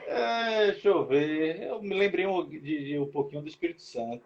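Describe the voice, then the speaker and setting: A man's voice: fairly high pitch, moderate pace, gravelly. A man from Salvador with a Bahian accent, hosting a live-stream talk and asking chatty questions with frequent "né" tags. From Salvador, Bahia, Brazil. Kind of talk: casual live-stream interview. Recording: dull lo-fi live stream audio.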